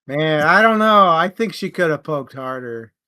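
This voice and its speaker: A man co-hosting a casual book discussion, animated in how he makes his points. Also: a little high, moderate pace, dry recording. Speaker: book podcast co-host.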